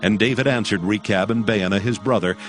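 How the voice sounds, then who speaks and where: A man with a slightly deep voice narrates scripture for an audio drama. His storytelling is measured and solemn, with music playing clearly underneath. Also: fairly bright tone.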